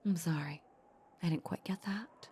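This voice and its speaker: A woman playing a possessive, seductive vampire in a roleplay audio drama, teasing her captive with menacing sweetness in a breathy near-whisper. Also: on the low side, moderate pace, dark tone, a little hiss or hum, close to an everyday voice. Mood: amused, possessive.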